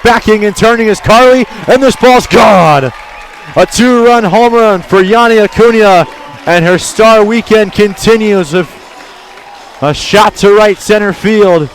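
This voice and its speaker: Male radio play-by-play announcer, slightly high register, excitable on key calls. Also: measured pace, a faint crowd. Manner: excited calls on big plays. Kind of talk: live radio sports commentary.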